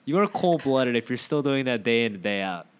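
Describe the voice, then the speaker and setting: A man hosting a casual podcast chat, teasing his guest with animated questions. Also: mid-range voice, fairly quick, clean tone. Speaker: podcast host.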